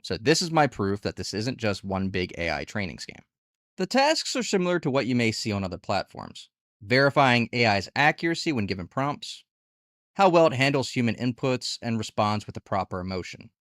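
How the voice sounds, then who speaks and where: Male reviewer, lively swooping intonation, medium pitch, candid conversational tutorial narration, dry close sound. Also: fairly quick, no room sound. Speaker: video host reviewing online gig work platforms.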